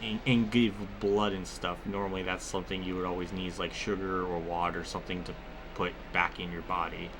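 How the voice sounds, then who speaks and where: A man's voice: sits low, little pitch movement, fairly quick, noisy background. A man giving casual, rambling gameplay commentary mixed with personal storytelling, conversational and free with swearing. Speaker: gaming video creator.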